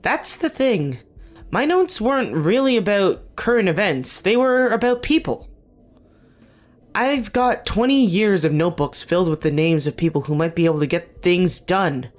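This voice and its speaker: An actor playing a weary, guarded veteran team leader in an audio drama, speaking earnestly and haltingly with dry wit. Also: medium pitch, expressive, faint music behind, natural voice. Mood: dry, defensive. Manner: earnest, halting, self-correcting, dryly wry. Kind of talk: naturalistic audio drama dialogue.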